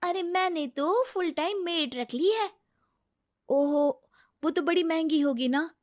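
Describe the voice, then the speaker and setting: A woman voices a young female colleague in a cartoon, curious and gossipy. The pitch is very high, with casual exclamations. The recording is dry and close. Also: slow pace, darkish tone, dry recording. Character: chatty, inquisitive young female office colleague. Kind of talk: Hindi cartoon voice acting.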